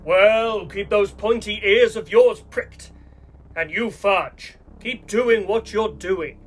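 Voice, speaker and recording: An actor playing a courteous but menacing male villain who bosses elves in a radio play, grandiose, formal and measured. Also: high voice, faint music behind. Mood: commanding.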